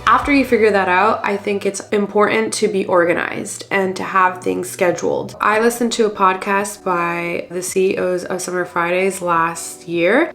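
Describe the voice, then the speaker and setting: A woman's voice: on the low side, a bit bright. A woman in her thirties, slightly congested after a cold, chatting in a friendly, encouraging way to her vlog viewers. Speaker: vlogger. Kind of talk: casual lifestyle vlog talk.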